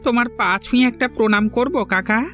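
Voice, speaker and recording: A man's voice: very high-pitched, fairly quick, a bit bright. A scheming village thief from a cartoon, acted by a man, middle-aged and eager to please. He speaks Bengali with a West Bengal accent in a theatrical, ingratiating way, over music. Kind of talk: cartoon voice acting.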